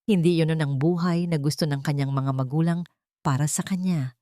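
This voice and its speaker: A woman's voice: low-pitched, fairly even intonation, normal speed, dark tone, no echo, studio quality. A woman reading a dramatic scripted story as an audiobook narrator.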